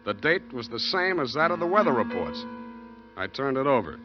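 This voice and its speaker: A hard-boiled detective played by a man tells his story with clipped, deadpan wit. It is a muffled old radio drama recording, with music clearly behind the voice. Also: medium pitch, normal speed, natural voice. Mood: wry, hard-boiled. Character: wisecracking hard-boiled male private detective. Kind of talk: 1940s radio detective drama, first-person narration.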